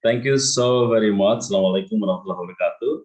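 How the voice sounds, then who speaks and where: A middle-aged man with an Indonesian accent giving polite, conversational interview answers as an educator, often pausing mid-sentence. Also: fairly low pitch, darkish tone. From East Java, Indonesia. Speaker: interview guest, school deputy principal and English teacher.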